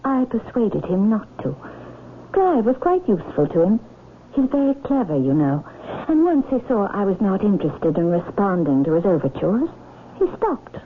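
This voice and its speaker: An actress playing a proud, composed aristocratic widow in a radio drama, speaking in a formal, poised and imperious manner. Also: female voice, mid-range voice, big pitch swings, moderate pace, natural voice. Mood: guarded, dismissive. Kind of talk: 1970s radio drama acting. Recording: muffled lo-fi old radio broadcast, hiss.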